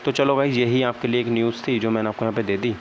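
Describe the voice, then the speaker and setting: Young male vlogger, mid-pitched, downcast conversational explainer, dull home-made sound. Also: steady tone, fast talker. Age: young adult. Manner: conversational, often checks in with "okay, right" tags. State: downcast, disappointed. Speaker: exam-prep vlogger. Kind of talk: casual explainer talk to viewers. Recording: dull lo-fi sound, faint background noise.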